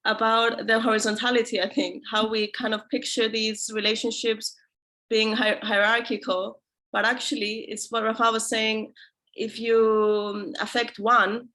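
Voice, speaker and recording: Panelist, woman, higher bright voice, fairly flat, earnest. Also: low-quality recording. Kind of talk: panel discussion.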